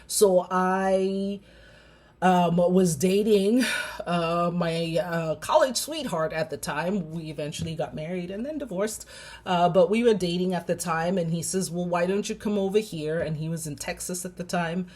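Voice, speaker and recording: A woman in her forties from Zimbabwe, a clinical psychologist telling her personal story with many pauses and fillers. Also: fairly low pitch, a little mellow. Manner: reflective, many ums and uhs.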